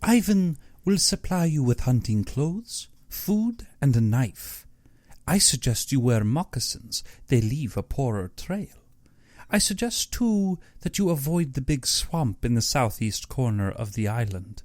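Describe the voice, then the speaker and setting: A man's voice: mid-range voice, sing-song, measured pace, a little mellow, dry recording, voice pushed up. A man voicing an urbane, aristocratic general in an audiobook, a courtly and theatrical villain who savors his words with sinister glee. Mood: businesslike, genteel menace. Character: urbane, cultured aristocratic general, gleefully sinister big-game hunter.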